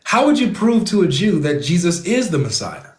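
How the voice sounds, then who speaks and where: A man hosting a live online debate, leaning on one point and circling back to it with question after question. His voice sits a little high for a man and rings in an echoey room. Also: sing-song, moderate pace, slight background noise. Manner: probing, presses a point with repeated questions. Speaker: debate host.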